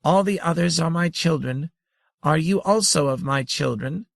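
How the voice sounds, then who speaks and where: A man's voice: on the high side, moderate pace, vocal fry, no echo, pitched above the performer's own voice. A man voicing a tiny, ancient, giggling female creature who teases and chitters playfully. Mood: gleeful. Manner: tinkling, chittering, teasing.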